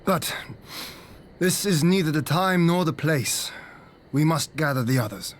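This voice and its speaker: A man's voice: fairly high pitch, lively intonation, unhurried, a little hiss or hum. A man playing an earnest, dutiful lord in a video game, speaking in a grave, formal and courteous way. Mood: grave regret. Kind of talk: dramatic video game voice acting.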